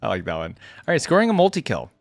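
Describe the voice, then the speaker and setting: A man's voice: medium pitch, huge pitch swings, quick pace, creaky, no room sound, faint music behind. A man hosting a casual reaction to game voice lines, with an amused, easygoing manner. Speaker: host of a game lore reaction channel.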